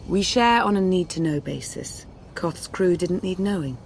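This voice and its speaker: Game cutscene casting: cool, curt female operative, low register, engine rumble behind. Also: expressive, normal speed, gravelly. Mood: guarded, brisk. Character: guarded, terse female operative, cool and authoritative. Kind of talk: video game cutscene acting.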